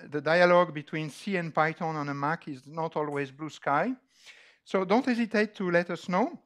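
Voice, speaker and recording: Male scientific lecturer, French accent, slightly high register, hesitant and deliberate, presenting informally. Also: a bit bright. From France. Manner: halting, deliberate, with frequent hesitations. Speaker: lecturer opening a scientific workshop. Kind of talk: informal technical lecture with slides. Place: large dark auditorium, on stage.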